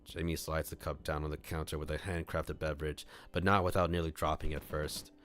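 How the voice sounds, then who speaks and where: A man on a livestream reading a visual novel aloud, mixing scripted narration with casual asides where he thinks out loud. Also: rumbling low, level tone, fairly quick. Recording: music playing behind the voice. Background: clear background music.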